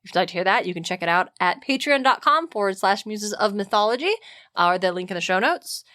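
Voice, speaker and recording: A woman's voice: medium pitch, lively intonation, quick pace, pushed and bright. A woman co-hosting a podcast in casual, slangy, emphatic conversation. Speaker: podcast co-host.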